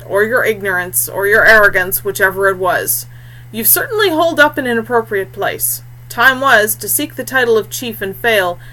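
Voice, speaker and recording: A woman's voice: mid-range voice, pushed and bright, low-quality recording. A woman voicing a scornful, commanding undead warrior chief in a fantasy story, bellowing formally and with contempt.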